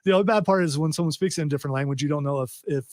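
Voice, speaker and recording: A man's voice: a little high, racing, darkish tone, no echo, studio quality. A man hosting a pop culture podcast, rambling with restarts as he steers the talk. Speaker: podcast host. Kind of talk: casual chat.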